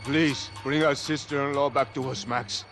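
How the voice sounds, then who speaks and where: A man's voice: medium pitch, fairly even intonation, normal speed, over music, close to an everyday voice. A man voicing a desperate grieving rich man. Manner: anxious, pleading. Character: grieving wealthy male businessman, desperate.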